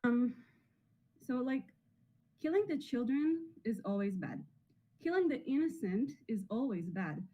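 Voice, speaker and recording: A woman in her twenties from Israel, arguing in an online video chat. Her voice is slightly high and husky, and she fills her talk with "like". The call audio sounds dull and lo-fi. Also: lively intonation, unhurried, long pauses. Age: 20s. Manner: filler-heavy, lots of "like".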